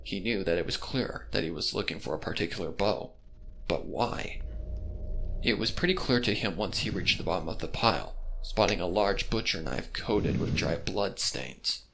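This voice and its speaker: A man narrates a dramatic story in a low voice with an animated delivery. His tone is gravelly, and faint noise sits behind it. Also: normal speed.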